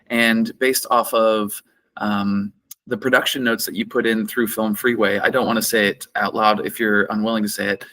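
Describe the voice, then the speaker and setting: A festival director speaks in a bright, forceful voice. He builds his questions earnestly and repeats words as he works out what to ask. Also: on the low side, little pitch movement, moderate pace. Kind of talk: interview questions.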